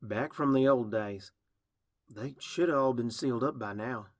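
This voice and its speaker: A man's voice: medium pitch, a little slow, dry recording, clean studio sound, natural voice. A man voicing a blunt, protective older-sister farm pony in a narrated story, emphatic and exasperated. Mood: grim, practical.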